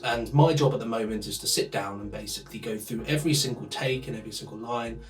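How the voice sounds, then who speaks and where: A man's voice: fairly low pitch, fast talker, faint music behind. A man explaining his work as a sound editor in an interview.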